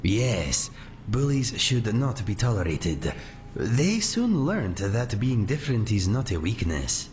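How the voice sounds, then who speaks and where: A man playing a gentle, courteous cat-folk sellsword in a fantasy game, speaking in measured, formal phrases without contractions. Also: lowish voice, very animated, a little hiss or hum. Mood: proud, reminiscing. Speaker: companion character in a fantasy video game. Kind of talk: scripted game dialogue. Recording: faint music under the voice.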